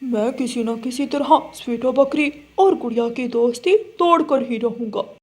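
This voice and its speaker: A woman's voice: fairly high pitch, a little slow, a little mellow. A woman voicing a jealous, wheedling young male crow in a children's cartoon story. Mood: sly. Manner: wheedling, sly.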